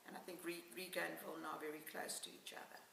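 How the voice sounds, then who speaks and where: Woman in her seventies, South African accent, bright edge to the voice, unhurried life-story telling, echoey room with faint hiss. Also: deep voice, little pitch movement, vocal fry, recorded from a distance. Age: 70s. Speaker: oral history interviewee. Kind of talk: reminiscing life story in an interview.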